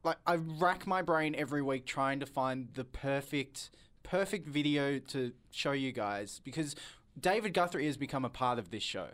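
A young man hosts a radio show in a casual, chatty way. His voice sits at a middle pitch, and he teases his co-hosts playfully. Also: moderate pace, fairly bright tone. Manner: playful, teasing.

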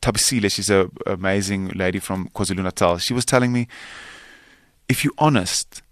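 A man's voice: low-pitched, fairly quick, dry recording, studio quality. A man from South Africa, a native Afrikaans speaker, speaking English as a film's guest on a radio interview, talking earnestly and often restarting his sentences. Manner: earnest, rambling, with many restarts. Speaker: filmmaker and actor guest.

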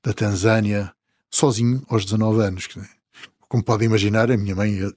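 A man in his fifties from the Azores with a European Portuguese accent, telling travel stories as an interview guest, chuckling and letting his sentences trail off softly. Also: on the low side, fairly even intonation, a little fast, no room sound. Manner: storytelling, chuckling, trailing off quietly. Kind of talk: relaxed interview conversation.

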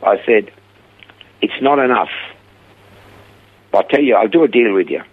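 Gravelly older Swiss-accented man spinning a story. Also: fairly low pitch, unhurried. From Switzerland. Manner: lively storyteller who acts out the quoted voices. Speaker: guest. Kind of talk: storytelling in a radio interview. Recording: narrow phone-like radio sound.

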